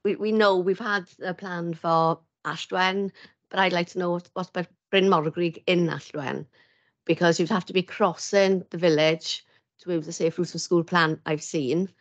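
A woman's voice: fairly low pitch, steady tone, normal speed, muffled recording. A woman councillor asking a tentative question at a council meeting over a video call.

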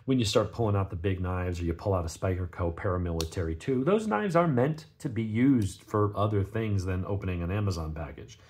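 Male reviewer, low husky gravelly voice, stuffed up with a cold, talking casually and off the cuff. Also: expressive, a little fast, hardly any pauses, a little mellow. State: sniffly with a cold. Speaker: knife reviewer, channel host. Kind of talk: casual unscripted product review.

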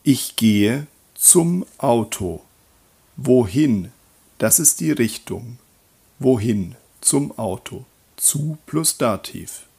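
A man teaching German grammar in a slow scripted voice-over, reading example sentences and repeating them. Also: medium pitch, expressive, creaky, dark tone, slightly rough.

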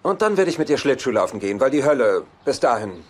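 A man's voice: mid-range voice, big pitch swings, fast talker. A man playing a cynical, self-assured bachelor in a dubbed sitcom, with a dry, sarcastic delivery. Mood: sardonic.

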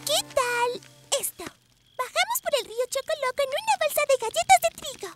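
Very high-pitched, cheerful little girl. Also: sing-song, moderate pace. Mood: upbeat. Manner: bubbly, exclamatory. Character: cheerful, eager little girl full of plans. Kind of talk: Spanish-language children's cartoon voice acting. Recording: music under the voice.

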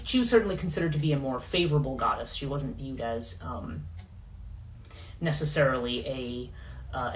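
A middle-aged woman hosting a casual livestream in her living room, rambling with many fillers and restarts, her voice going hoarse. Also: rumbling low, poor audio. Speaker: podcast host. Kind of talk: casual livestream talk on myth.

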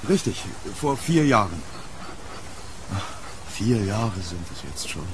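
An actor playing a troubled, courteous gentleman archaeologist haunted by his past, speaking formally and broodingly in an audio drama. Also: on the low side, sing-song, slow pace, slight background noise. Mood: reserved. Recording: rainy street ambience, thunder.

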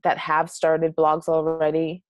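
Young American woman, deep-pitched, chatty and encouraging webinar presenter, close dry sound. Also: monotone, unhurried, no room sound. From New Hampshire, United States. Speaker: guest presenter, blog strategist.

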